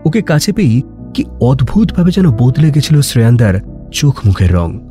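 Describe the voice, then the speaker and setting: A man's voice: mid-range voice, very animated, moderate pace, mellow. A man narrating an audio love story in a dramatic, emotive, literary style. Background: background music.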